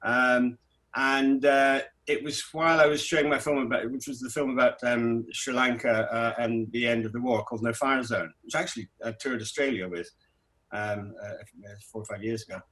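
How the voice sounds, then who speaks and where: A middle-aged man who grew up in Scotland, a documentary director answering festival questions, talking in a halting way with many restarts. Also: mid-range voice, fairly even intonation, fairly bright tone, rough recording. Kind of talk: festival Q&A interview.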